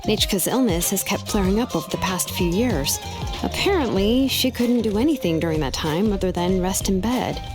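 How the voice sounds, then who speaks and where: A woman's voice: mid-range voice, lively intonation, normal speed, natural voice. A woman playing an easygoing, matter-of-fact young woman, a considerate friend, in video game dialogue. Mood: matter-of-fact, explaining. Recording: game music behind the voice.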